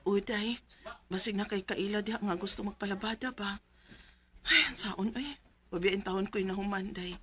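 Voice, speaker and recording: A woman's voice: medium pitch, normal speed, pushed and bright, natural voice. A woman with a Cebuano Filipino accent playing a weary but determined widowed mother in a radio drama, plaintive and emotional. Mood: worried. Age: 30s.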